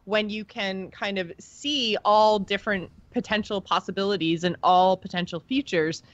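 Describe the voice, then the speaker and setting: A woman's voice: mid-range voice, steady tone, normal speed, no echo. Enthusiastic woman co-hosting, slightly dull audio. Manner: enthusiastic, slangy. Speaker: podcast co-host. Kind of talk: casual chat about game lore.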